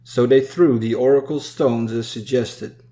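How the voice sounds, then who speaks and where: A man reading a dark narrative poem in a solemn, measured storytelling manner. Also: medium pitch. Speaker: poem reader. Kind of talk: solemn scripted poetry recitation.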